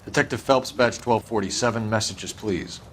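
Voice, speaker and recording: An American actor playing an earnest 1940s police detective in a crime game, with a measured, formal, terse delivery. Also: male voice, on the low side, background noise, natural voice. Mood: brisk, businesslike. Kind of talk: video game dialogue, crime drama.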